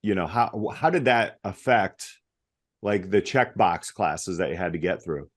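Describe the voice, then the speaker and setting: A man's voice: deep voice, animated, measured pace. A man co-hosting a podcast, asking questions in a friendly, teasing, casual way. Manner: friendly, teasing, calls the guest dude. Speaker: podcast co-host. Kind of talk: casual interview talk.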